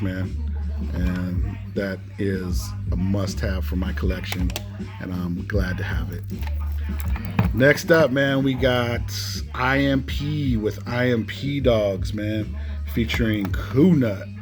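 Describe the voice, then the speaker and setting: A man casually presenting a collection in an informal way, often ending phrases with "man". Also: fairly low pitch. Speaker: music collector presenting cassettes. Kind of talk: casual collection showcase commentary. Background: music playing behind the voice.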